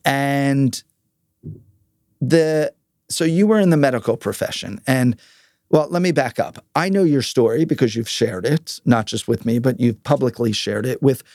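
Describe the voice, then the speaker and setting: A man's voice: mid-range voice, normal speed, darkish tone, no echo. Man hosting a casual interview, dry close sound. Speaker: podcast host interviewing a guest.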